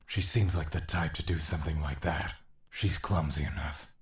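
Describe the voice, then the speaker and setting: A man's voice: rumbling low, steady tone, moderate pace. An actor in an audio drama playing a blunt male confidant who needles and questions. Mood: blunt, skeptical. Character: deep-voiced, blunt, teasing male confidant.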